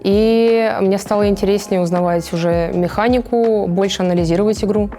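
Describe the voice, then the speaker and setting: Mellow young woman, reflective. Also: medium pitch, steady tone, brisk. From Moscow, Russia. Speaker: esports player. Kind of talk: reflective interview answers. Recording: music behind the voice.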